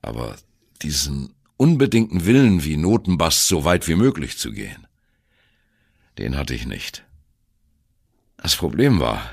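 An actor playing a male jazz musician who wryly and candidly recalls his youth in a radio play monologue, in a gravelly voice. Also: extremely low pitch, exaggerated voice. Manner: wry, candid, self-deprecating. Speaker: narrator of an audio drama. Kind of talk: first-person radio play monologue, storytelling.

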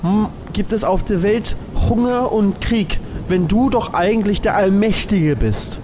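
A man's voice: sits high, measured pace, noisy background. A man co-hosting a podcast, improvising casual comedic banter with a questioning, mock-sceptical way of talking. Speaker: podcast co-host.

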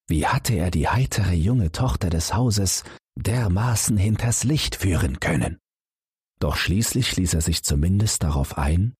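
A man calmly reading a fairy tale aloud as a bedtime audiobook narrator. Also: sits low, breathy edge, no room sound, studio quality. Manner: calm, expressive storytelling.

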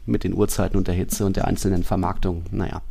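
A man's voice: low-pitched, flat delivery, fast, vocal fry, warm tone, husky. A man co-hosting a football podcast, giving casual analysis in a loose, rambling, colloquial way.

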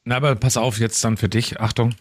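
A man's voice: medium pitch, steady tone, a little fast, soft background music. A man co-hosting a casual podcast, emphatic and wry, repeating words to make his point. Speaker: podcast and radio co-host. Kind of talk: casual banter.

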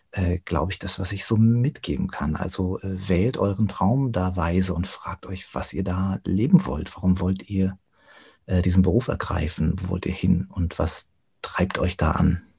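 A man speaking reflectively as an interview guest, thoughtful and often pausing to search for words. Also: low voice, creaky, warm tone, husky. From Germany.

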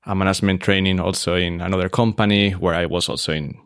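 A man, a hotel expert talking relaxed in an interview, with many fillers and restarts. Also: low voice, fast talker, dry recording, studio quality. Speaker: hotel operations expert, guest. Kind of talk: casual interview conversation.

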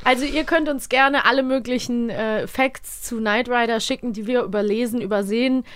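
A woman's voice: a little high, steady tone, moderate pace, a bit bright. A woman co-hosts a podcast. She talks in a casual, informal way, with an enthusiastic, giggly manner. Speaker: podcast co-host.

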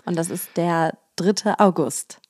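A woman with a hoarse voice, co-hosting a podcast in relaxed conversation. She talks chattily, pokes fun at herself and often breaks into laughter. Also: fairly low pitch, slow. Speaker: podcast co-host. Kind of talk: casual chat.

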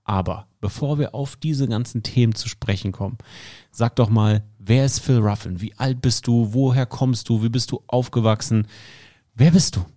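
A man hosting a sports podcast, upbeat and joking as he interviews a guest, tossing in quick asides. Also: medium pitch, warm tone. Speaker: podcast host.

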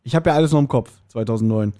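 A man's voice: mid-range voice, very fast, mellow. A man co-hosting a podcast in casual banter, rambling in a playful, self-mocking way. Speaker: podcast co-host.

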